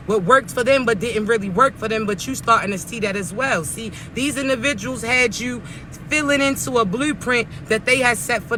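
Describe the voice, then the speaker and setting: Raspy high-voiced man, street noise behind. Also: bright, forceful tone. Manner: earnest, coaching, repeats "chosen ones" and "see". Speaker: spiritual reader. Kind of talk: live-streamed spiritual message to viewers. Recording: outdoor traffic noise behind.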